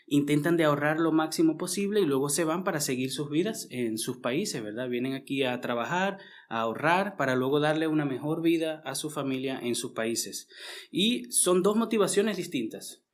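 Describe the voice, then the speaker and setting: A man's voice: fairly high pitch, lively intonation, moderate pace. A man from Venezuela with a Venezuelan accent, preaching a conversational sermon to his congregation. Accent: Venezuelan Spanish. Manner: conversational, frequent tag questions and restarts.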